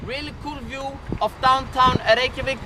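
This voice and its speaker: A man's voice: very high-pitched, steady tone, moderate pace. A man with an Icelandic accent, guiding a walking tour and chatting with his group, quizzing them with questions. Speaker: tour guide. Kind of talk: guided walking tour talk. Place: outdoors on a city street. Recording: loud street noise, from a distance.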